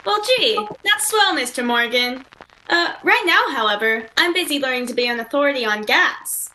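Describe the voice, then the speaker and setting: A woman's voice: high-pitched, expressive, moderate pace, bright, forceful tone, slight background noise, poor audio. A woman playing an eager, gee-whiz young male announcer in 1940s radio style. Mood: bashful, eager. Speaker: sponsor announcer. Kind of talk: 1940s radio acting and sales pitch.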